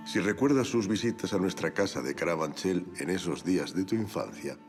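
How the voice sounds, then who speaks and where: A man's voice: low voice, expressive, fairly quick, a little mellow, soft background music. An actor playing a worldly, affectionate father voicing a letter in an audio drama, formal and dryly wry. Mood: fond, wry.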